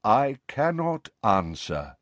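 A man voicing a resigned, courtly male ghost in an audiobook, speaking quietly and formally with hesitant, sighing phrases. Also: fairly low pitch, lively intonation, a little slow, no room sound. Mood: wistful. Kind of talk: audiobook character voice.

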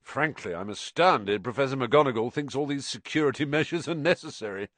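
A man's voice: mid-range voice, animated, brisk, fairly bright tone, dry recording, voice pushed up, natural voice. A man voicing a vain, pompous teacher who speaks with grand self-assurance.

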